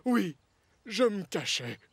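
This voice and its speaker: A man's voice: high voice, big pitch swings, takes it slowly, gravelly, slightly rough, exaggerated voice. A man voicing a timid, anxious, apologetic little tram engine in a cartoon, hesitant and flustered. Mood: sheepish. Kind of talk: French cartoon dub acting.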